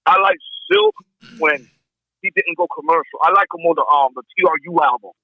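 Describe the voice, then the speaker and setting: Man, American accent, gravelly, lively swooping intonation, slangy casual chat, dry muffled recording. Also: fairly high pitch, fairly quick, no room sound. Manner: informal, slangy. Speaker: podcast panelist discussing music. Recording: muffled band-limited sound.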